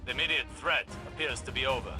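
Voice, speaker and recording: A man playing a stern, seasoned warrior-scholar mentor in a video game, speaking in a formal, measured, solemn way. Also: medium pitch, fairly even intonation, music in the background, close to an everyday voice. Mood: commanding, formal.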